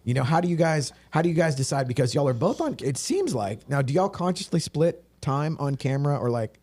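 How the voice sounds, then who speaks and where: A man's voice: fairly high pitch, animated, fairly quick, darkish tone. A man hosting a casual interview, chatty and rambling with many restarts. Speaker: podcast host.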